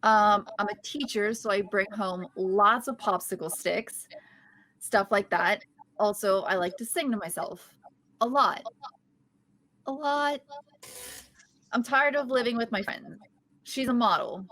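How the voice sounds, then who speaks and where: A woman's voice: mid-range voice, measured pace, pauses often, a bit bright. A woman reading the part of a quirky, chatty young teacher at a casual table read. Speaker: podcast host reading a part.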